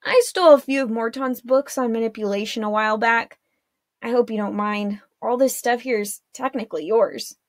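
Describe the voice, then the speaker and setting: A woman voicing a teenage boy warlock in an audiobook, speaking calmly and matter-of-factly. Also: on the high side, normal speed, dry recording, studio quality, lightly acted. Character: teenage boy warlock Mavik, calm and wry.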